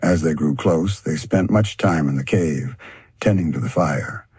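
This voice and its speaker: A man narrates a storybook audiobook. His voice is deep and extremely husky, recorded dry with hardly any room sound. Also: measured pace, a little mellow, dry recording.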